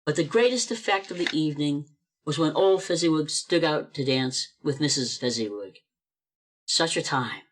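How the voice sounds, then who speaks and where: A man narrating an amateur radio play with expressive storytelling, read over a video call. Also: a little high, normal speed.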